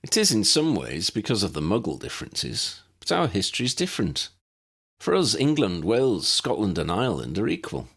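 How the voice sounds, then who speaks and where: A man's voice: on the low side, wildly swooping pitch, fairly quick, vocal fry, fairly bright tone, husky, full character voice. A man voicing an earnest young male wizard in an audiobook, explaining things patiently. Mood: earnest, explanatory. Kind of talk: audiobook character dialogue.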